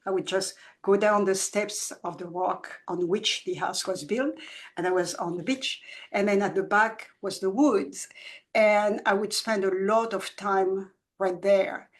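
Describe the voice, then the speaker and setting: Older woman around 70s, grew up in france, soft halting voice, lots of restarts, chatting about her book. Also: mid-range voice, fairly even intonation. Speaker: children's book author, guest. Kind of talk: reflective conversational interview.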